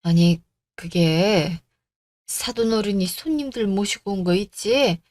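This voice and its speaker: A woman's voice: mid-range voice, animated, slow pace, a little mellow, dry recording. A woman voicing a caring, hesitant middle-aged mother who runs a restaurant, in a radio story drama. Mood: uneasy.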